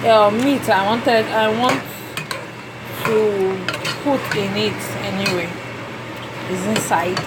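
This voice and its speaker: A Nigerian woman whose first language is Yoruba, talking through a cooking tutorial in a halting way with many fillers. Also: medium pitch, fairly even intonation. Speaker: cooking vlogger. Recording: background noise.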